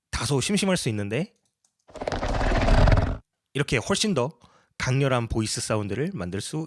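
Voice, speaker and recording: A man narrating a casual tutorial as a sound designer, explaining each step politely. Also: mid-range voice.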